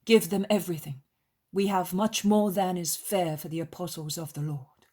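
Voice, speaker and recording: A woman voicing a gentle, kindly male holy teacher in a storybook reading, solemn, reassuring and emphatic. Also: on the low side, steady tone, normal speed, natural voice. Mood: calm, instructing. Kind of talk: dramatized devotional storybook reading.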